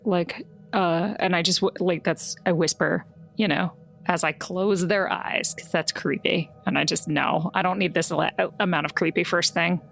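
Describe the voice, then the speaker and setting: Woman player at a tabletop game, lots of vocal fry, informal halting chat, music behind. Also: on the low side, fairly even intonation, a bit bright. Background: background music.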